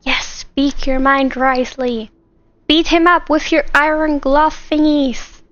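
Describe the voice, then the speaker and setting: A woman streaming a video game, reacting casually and excitably to the story as she eggs the characters on. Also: high-pitched, slow, no echo, lo-fi sound. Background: game music and dialogue.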